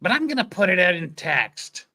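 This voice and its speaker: Man as a crotchety old woman lawyer, high forceful voice, cartoonish, stubborn, comic courtroom reading. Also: big pitch swings, moderate pace, exaggerated voice.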